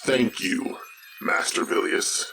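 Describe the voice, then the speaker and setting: An actor playing a proud, stern mercenary count commanding a warship in an audio drama, authoritative and menacing. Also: male voice, slow, sharp tone. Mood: stern, demanding. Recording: faintly noisy recording.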